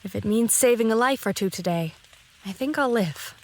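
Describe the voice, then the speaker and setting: An actress playing a gentle, selfless elf in a fantasy audio drama, speaking in a soothing, reassuring manner. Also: female voice, on the high side, animated, normal speed, a little hiss or hum, rough recording. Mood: gently wry.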